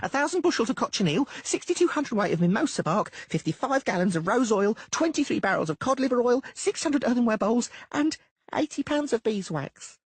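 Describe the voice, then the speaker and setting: A man's voice: extremely high pitch, big pitch swings, a little fast, breathy edge, no echo, pitched above the performer's own voice, exaggerated voice. A man voicing a male shape-shifting doppler who poses as a halfling merchant, stuttering and reeling off lists with pride. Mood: eager. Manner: stuttering, rattles off lists proudly.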